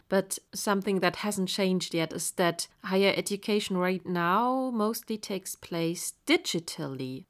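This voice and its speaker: A woman hosting an education podcast, reading a measured, upbeat introduction. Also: lowish voice. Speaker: podcast host. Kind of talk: scripted introduction.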